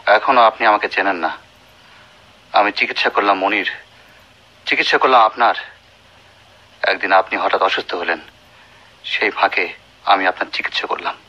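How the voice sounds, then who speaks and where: A man's voice: lowish voice, normal speed, long pauses, pushed and bright. A man acting in a melodramatic radio play as a principled, grieving doctor, emphatic and emotional, on a dull old recording. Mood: sorrowful. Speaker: radio drama actor.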